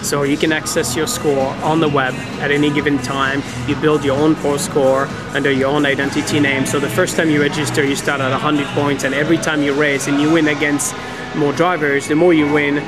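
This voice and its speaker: A man from France, manager of an indoor karting center, explaining the business in a casual interview with many "uh" pauses. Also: on the high side, level tone. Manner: explains steadily with frequent "uh" pauses. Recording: loud music in background.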